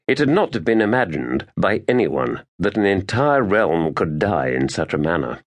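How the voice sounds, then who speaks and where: A man narrating an epic fantasy audiobook in a grave, measured, dramatic storytelling manner. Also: low-pitched.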